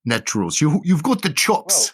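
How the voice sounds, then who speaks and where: A man's voice: fairly high pitch, sing-song, normal speed, husky, dry recording, studio quality. Man playing a gushing, endearing theater actress. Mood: warm, grateful. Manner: gushing, calls people love. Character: warm, flirty, motherly theater actress Sheeny.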